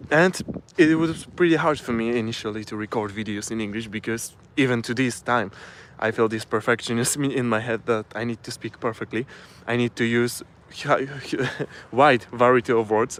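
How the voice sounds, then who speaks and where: A man with a Polish accent, a native Polish speaker, giving a casual live-stream talk from his phone, often pausing and restarting as he searches for words. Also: mid-range voice, steady tone, a bit bright, slight background noise. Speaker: self-improvement vlogger.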